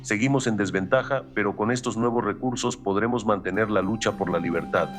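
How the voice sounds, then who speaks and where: Low-voiced, statesmanlike president played by an actor. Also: male voice, a little fast, faint music behind, close to an everyday voice. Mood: grave, resolute. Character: sober, statesmanlike male president addressing his ministers. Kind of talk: historical audio drama acting.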